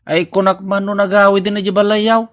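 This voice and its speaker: A man's voice: high-pitched, flat delivery, brisk, lightly acted. A man with an Ilocano accent playing an inquisitive son in a village comedy drama, talking in a questioning, colloquial manner. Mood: puzzled, teasing.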